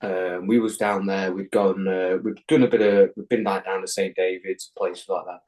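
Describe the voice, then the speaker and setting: Guest, English male, lowish register; rambling anecdote teller, heavy swearing, lots of restarts. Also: fairly even intonation, moderate pace, darkish tone. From England. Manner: rambling, swears constantly, many ums and restarts. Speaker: podcast guest. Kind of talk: casual chat, telling an anecdote.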